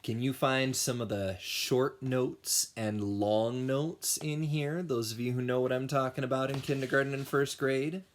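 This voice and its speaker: A man reading a picture book aloud to young children as their music teacher, expressively and with dramatic pauses. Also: mid-range voice, fairly even intonation.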